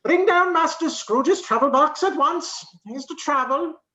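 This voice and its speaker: An actor playing an imperious, pompous schoolmaster in a radio play, drawing out the words forcefully. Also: sits high, unhurried. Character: imperious, gruff adult male schoolmaster.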